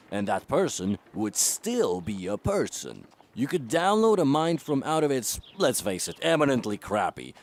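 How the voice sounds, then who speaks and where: A man's voice: medium pitch, darkish tone. An actor playing a brash, foul-mouthed man in a voiced comic, sardonic, abrasive and theatrical. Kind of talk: voiced comic audio drama.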